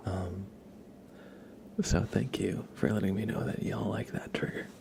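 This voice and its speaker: A man chatting casually in a gentle, playful, breathy ASMR whisper as a live streamer, close to the microphone. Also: fairly low pitch, fairly even intonation, unhurried, noisy background. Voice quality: breathy whisper with soft creaky murmurs.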